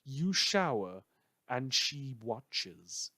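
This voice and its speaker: A man playing a brooding, dramatic host of a post-apocalyptic town radio show, delivering theatrical monologues with heavy pauses. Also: medium pitch, sing-song, dry recording, studio quality, heavily acted. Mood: hushed, haunted. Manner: theatrical, dramatic pauses, swinging from hushed to loud. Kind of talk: fictional community radio broadcast monologue.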